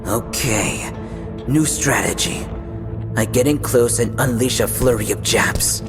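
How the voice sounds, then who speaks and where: A man's voice: medium pitch, measured pace, natural voice. A man acting in an audio drama as a young, casual and cocky fighter who narrates his own story in a wry way. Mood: focused. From Philippines. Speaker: voice actor narrating in character. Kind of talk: audio drama dialogue and first-person narration. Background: background music.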